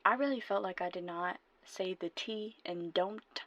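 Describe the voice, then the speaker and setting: A woman's voice: fairly low pitch, unhurried, a bit bright, slight background noise. A woman voice actor chatting casually between takes. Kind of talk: casual recording-session outtake chat. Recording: dull lo-fi sound.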